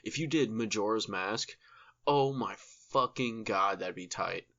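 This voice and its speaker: A man's voice: on the low side, a little slow, pushed and bright, highs cut off. A man co-hosting a podcast, chatting casually and enthusiastically with slang and swearing. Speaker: podcast co-host.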